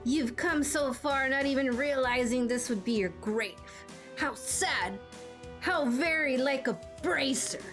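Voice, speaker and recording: A woman voicing a menacing masked mercenary villain in a video game, taunting and contemptuous with drawn-out words. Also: sits high, a bit bright. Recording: music behind the voice.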